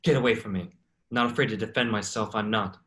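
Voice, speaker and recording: A man's voice: fairly low pitch, quick pace, a bit bright, a little hiss or hum, close to an everyday voice. An actor playing a desperate, protective man in a staged play reading, blunt and insistent. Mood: defiant. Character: Vincent, desperate, protective man cornered with a knife.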